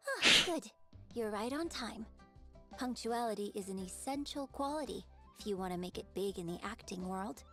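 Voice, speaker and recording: An actress playing a poised, exacting opera performer in a video game, instructing a pupil in a formal, theatrical way. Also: female voice, medium pitch, animated, normal speed, a bit bright, faint music behind. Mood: composed, instructive. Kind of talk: scripted video game voice acting.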